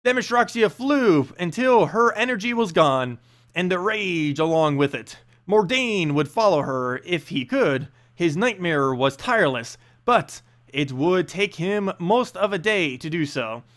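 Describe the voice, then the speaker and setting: Animated high-pitched man reading fantasy aloud. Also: a little slow. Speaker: narrator and channel host. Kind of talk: expressive storybook read-aloud of fantasy lore.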